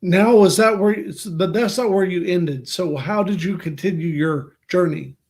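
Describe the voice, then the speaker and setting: A man with a noticeably high voice hosts a podcast from a video call. He talks in a chatty, easygoing way and keeps the guest going with quick affirmations. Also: a little mellow. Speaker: podcast host. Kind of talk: casual interview chat.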